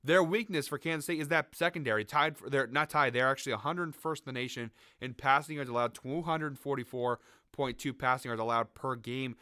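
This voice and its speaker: A man hosting a sports betting show, chatting casually through his picks while sick, with a raspy, nasal voice. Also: medium pitch, a little fast, bright, forceful tone, no echo, clean studio sound. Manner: conversational, hedging, frequent fillers. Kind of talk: casual picks commentary to camera.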